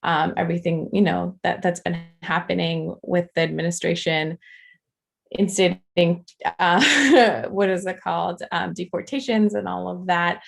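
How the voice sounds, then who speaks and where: A young woman gives an informal talk to a meeting, her fairly low voice carrying a gravelly fry. She speaks earnestly and keeps falling back on ums and small restarts as she goes. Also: moderate pace. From Afghanistan. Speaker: nonprofit advocate speaking to a political club.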